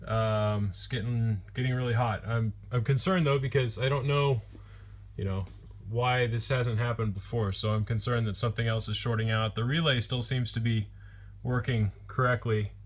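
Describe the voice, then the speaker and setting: A man's voice: mid-range voice, steady tone, normal speed. A young man talking plainly through his metalworking steps in a basement workshop, with frequent ums and uhs. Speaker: metalworking vlogger. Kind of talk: casual workshop narration.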